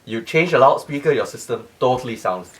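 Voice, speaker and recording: Animated man explaining, slightly off-mic. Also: mid-range voice, a little fast, distant mic. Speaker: loudspeaker company CEO, interview guest. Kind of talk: interview explanation of audio design.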